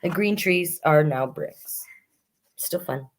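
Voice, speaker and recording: Woman, slightly low pitch, children's storytime host, expressive rhyming reading, casual asides. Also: takes it slowly. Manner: expressive sing-song reading, chatty asides to young listeners. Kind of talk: reading picture books aloud with casual asides.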